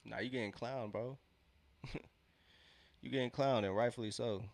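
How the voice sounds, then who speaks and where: A man's voice: medium pitch, fairly even intonation, moderate pace, creaky, a little mellow, dry recording. A young man with a congested, nasal voice, casually reacting to a basketball game on a live watch-along stream, slangy and repeating himself.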